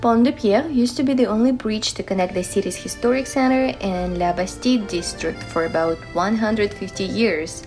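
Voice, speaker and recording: A woman's voice: mid-range voice, moderate pace, hardly any pauses. A young woman reading a scripted voice-over as the narrator of a travel guide. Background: background music.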